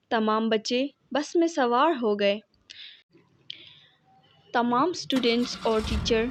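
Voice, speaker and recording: A woman reading a scripted children's story as its narrator. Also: fairly high pitch.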